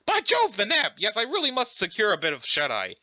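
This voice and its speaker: A man voicing a chatty, scholarly, excitable alien troll with an affected British accent and a pompous, wordy manner. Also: high voice, fairly quick, dry recording, dull audio, voice pushed up, full character voice. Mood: stirring, jaunty. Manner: pompous, wordy, effusively enthusiastic. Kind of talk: theatrical character reading of a webcomic.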